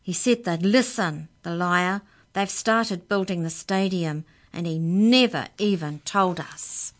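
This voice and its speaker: A woman voicing an angry, outspoken schoolgirl who talks loudly and fast. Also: on the low side, lightly acted. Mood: furious. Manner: loud, rapid, indignant. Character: angry outspoken schoolgirl, curly-haired and stubborn.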